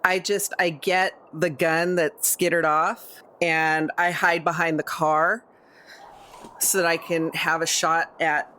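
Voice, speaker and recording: Low, slow-paced woman playing a tabletop game. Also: little pitch movement, sharp tone. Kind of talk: casual tabletop game talk.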